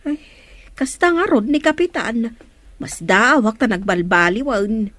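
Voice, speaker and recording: A woman's voice: on the high side, animated, unhurried, fairly bright tone, no room sound. An actress playing an earnest, admonishing woman villager in a radio comedy drama, with an Ilocano Filipino accent. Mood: concerned.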